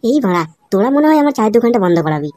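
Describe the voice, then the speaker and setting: A man voicing a grumpy, excitable tea-stall owner in a comedy cartoon, with slangy speech and a pitched-up effect. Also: rapid-fire, a little hiss or hum. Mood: irritated. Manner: scolding, wailing, slangy.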